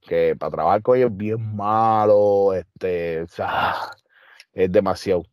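A man's voice: low-pitched, measured pace, dry recording, highs cut off. A man with a Puerto Rican accent, a wrestler and trainer telling stories in an interview, emphatic and streetwise with lots of fillers. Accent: Puerto Rican Spanish. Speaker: wrestler guest. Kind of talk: casual interview storytelling.

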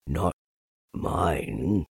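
A gloomy storybook donkey, acted by a man reading aloud. The voice sinks very low and drags along slowly with a husky, mournful murmur, as if talking to itself. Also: expressive, dry recording, studio quality, full character voice. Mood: quietly pleased. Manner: slow, mournful, murmuring to himself. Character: gloomy, slow, melancholy male donkey.

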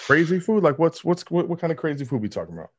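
A man's voice: a little high, sing-song, fast. A man hosting a casual interview, asking curious questions with many restarts. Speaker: podcast host.

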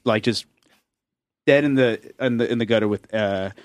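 A young man co-hosts a laid-back podcast, joking loosely and laughing often. His voice sits at an ordinary pitch and the recording is dry and close, with hardly any room sound. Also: normal speed, dry recording. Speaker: podcast co-host. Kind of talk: casual chat.

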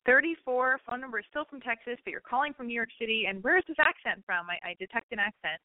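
A woman's voice: fairly high pitch, quick pace, dry recording. A woman hosting a call-in show, giving blunt, emphatic advice to callers. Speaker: radio call-in host. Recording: phone-like narrow sound.

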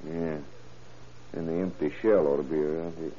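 A man playing a gruff, businesslike small-town undersheriff in an old-time radio crime drama, terse and plain-spoken. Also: a bass voice, fairly even intonation, moderate pace, mellow. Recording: noisy, tinny old radio broadcast recording.

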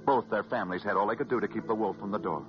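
An American man telling stories as a 1940s radio host, scripted and dramatic, with emphatic repetition and weighty pauses. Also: on the low side. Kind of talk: 1940s radio sports storytelling, scripted narration. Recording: muffled vintage 1940s radio recording. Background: music.

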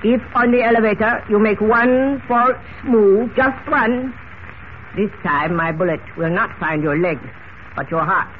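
An actor playing a menacing Egyptian villain with formal, coldly polite speech, in 1940s radio style. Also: male voice, high voice, a little slow. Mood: threatening. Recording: tinny, hissy old radio recording.

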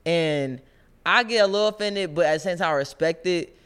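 A woman with a deep voice, co-hosting a podcast in relaxed, slangy casual chat. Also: fairly quick, clear, resonant tone. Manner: laid-back slangy talk, thoughtful asides. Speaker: podcast co-host.

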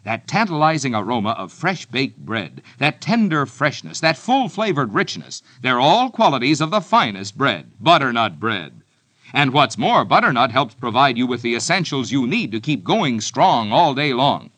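A man announcing a vintage radio commercial, cheerfully addressing children. His voice is bright and lively, and the sound is dry and close. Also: medium pitch, moderate pace, dry recording.

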